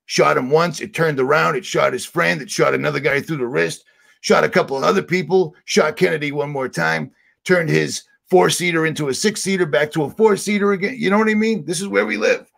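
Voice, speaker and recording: Gravelly man ranting on a livestream. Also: fairly high pitch, fairly quick, fairly bright tone. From Boston area. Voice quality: raspy, gravelly. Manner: heated, sarcastic, rambling. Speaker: channel host.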